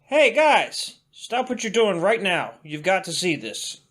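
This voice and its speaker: A man playing an excitable, sardonic young cadet in an audio drama, with slangy, animated delivery. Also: high-pitched, unhurried, full character voice. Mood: excited.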